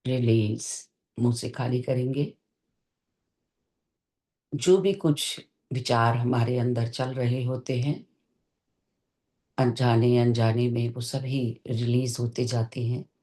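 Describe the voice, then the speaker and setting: Very slow, gentle meditation guide. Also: mid-range voice, fairly even intonation. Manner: slow, deliberate, with long pauses between short phrases. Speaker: meditation teacher leading a session.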